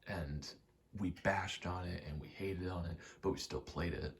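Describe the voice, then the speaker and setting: A soft-spoken man rambling casually in ASMR style, meandering and murmuring, often dropping toward a whisper. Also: low voice, fairly even intonation, normal speed, creaky. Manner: meandering, self-correcting, casually sweary. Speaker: video maker rambling over gameplay. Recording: faint background noise.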